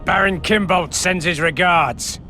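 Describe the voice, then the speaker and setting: An actor playing a menacing, contemptuous huntsman in a video game, gravelly, curt and commanding. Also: high-pitched, measured pace, pushed and bright, soft background music. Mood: cold menace. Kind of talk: video game cutscene dialogue.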